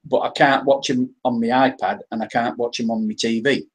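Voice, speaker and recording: Husky english guy chatting on a live stream, lots of stops and restarts, middling pitch. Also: steady tone, a little fast. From England. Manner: hesitant, repeats words and restarts. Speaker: interview guest. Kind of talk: casual live-stream chat.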